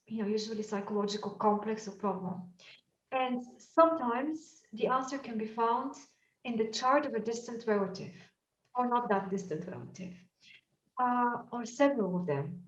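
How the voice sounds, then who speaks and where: A woman from Bulgaria with a Bulgarian accent, teaching astrology in a thoughtful lecture and often pausing with fillers as she searches for words. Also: a little high. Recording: echoey room, mic at a distance, dull sound.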